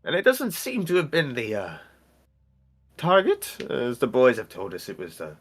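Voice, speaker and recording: A flustered university professor, played by a man in a tabletop fantasy game. The delivery is halting and hesitant, with a rough husky tone and pitch that wobbles widely. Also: wildly swooping pitch.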